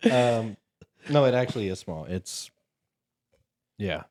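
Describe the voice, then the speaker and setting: A man in his thirties co-hosting a casual podcast, animated and teasing in conversation. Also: lowish voice, takes it slowly, no room sound. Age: 30s. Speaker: podcast co-host. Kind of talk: casual chat.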